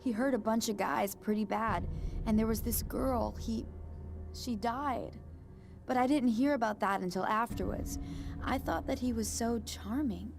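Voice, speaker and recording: A woman playing an uneasy young woman in a video game, talking in a halting, nervous, naturalistic way. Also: on the high side, creaky. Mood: nervous, hesitant. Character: uneasy, hesitant young woman caught in strange events. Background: music.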